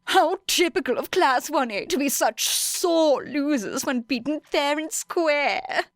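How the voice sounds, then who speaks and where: A woman's voice: high-pitched, animated, takes it slowly, no echo, clean studio sound, pitched above the performer's own voice, full character voice. A woman voicing a smug teenage boy with pompous, ingratiating, falsely polite manners.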